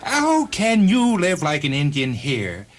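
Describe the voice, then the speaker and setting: Man acting sour, self-pitying man, lively pitch. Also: slow, faint music behind, exaggerated voice. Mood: indignant, wounded. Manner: formal, wordy, aggrieved. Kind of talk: dramatized short-story reading.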